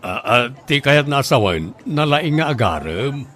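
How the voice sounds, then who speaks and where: A man playing a simple, excitable middle-aged bachelor in an Ilocano radio comedy drama, with an Ilocano accent. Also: medium pitch, takes it slowly, full character voice. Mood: bashful, excited.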